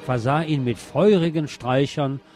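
A man's voice: mid-range voice, unhurried, a little mellow. A man presenting a scripted music-history narration in literate, formal wording with a dryly ironic manner. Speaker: host.

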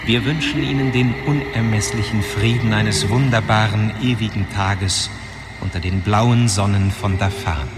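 An actor playing a solemn, courteous announcer for a hologram company, reading a scripted message in a science fiction radio drama. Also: male voice, fairly low pitch, fairly even intonation, unhurried, noisy background. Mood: solemn courtesy. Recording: loud music under announcement.

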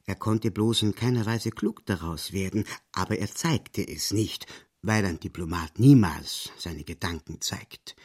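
A man reading literary narration for an audio drama with measured, deliberate phrasing. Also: deep voice, darkish tone, breathy edge.

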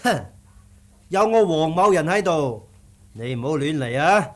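A swaggering martial-arts rival in a radio drama. His voice is raised in pitch and very animated, with boastful, blustering challenges. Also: measured pace. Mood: challenging. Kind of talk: Cantonese radio drama acting.

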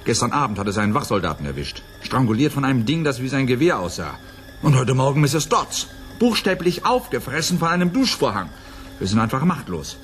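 A man's voice: medium pitch, very animated, fairly quick, natural voice. A man playing a bitter, despairing man under siege in a radio play, grim and exasperated. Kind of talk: 1980s German radio play acting.

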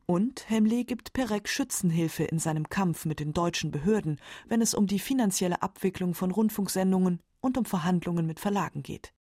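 Warm, dark-toned woman narrator. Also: on the low side, a little fast, hardly any pauses, no echo, clean studio sound. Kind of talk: scripted documentary narration.

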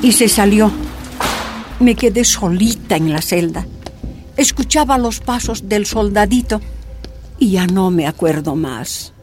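A woman narrating her own story quietly in a radio drama. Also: mid-range voice, expressive, unhurried, pauses often, over music. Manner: quiet recounting.

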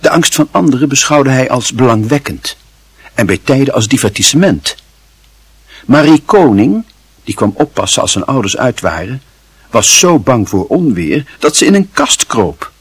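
Husky male storyteller with expressive delivery. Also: medium pitch, moderate pace, no room sound. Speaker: narrator. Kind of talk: dramatic scripted literary narration.

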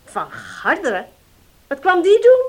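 A woman's voice: extremely high pitch, wildly swooping pitch, measured pace, full character voice. An actress in a Dutch radio play playing a nagging, domineering, money-minded mother who scolds her household. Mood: scolding. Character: shrill, nagging, money-minded middle-aged mother and wife.

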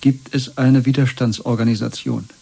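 An actor playing an exhausted, broken prisoner under torture in a radio play, halting and submissive, with a breathy voice. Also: male voice, medium pitch, fairly even intonation, mellow, dry recording. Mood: weak, searching. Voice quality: husky, breathy. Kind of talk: 1970s German radio play acting.

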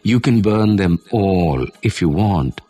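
A man's voice: sits low, expressive, takes it slowly, warm tone, dry recording, faint music behind, exaggerated voice. A man voicing a stubborn, fiercely loyal small girl who speaks emphatically and defiantly in a storybook. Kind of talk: storybook character voice.

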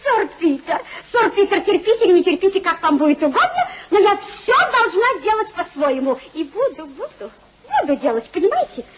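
Flighty young society wife, extremely high swooping voice, coquettish, defiant, exclamatory, noisy vintage radio comedy recording. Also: female voice, sing-song, brisk, crowd noise. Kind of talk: 1950s theatrical radio comedy acting.

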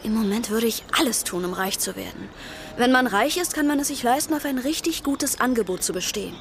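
High-voiced, flighty young bohemian woman. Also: expressive, brisk, a little hiss or hum. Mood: dreamy, playful. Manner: theatrical, capricious, breezy. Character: flighty, self-absorbed young bohemian woman dreaming of riches. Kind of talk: radio drama acting.

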